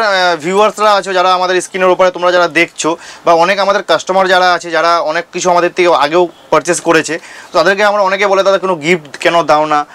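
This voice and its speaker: High-pitched man pitching deals. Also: fairly bright tone. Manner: repetitive, emphatic about key details. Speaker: shop owner and vlog host. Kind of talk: informal sales pitch to camera.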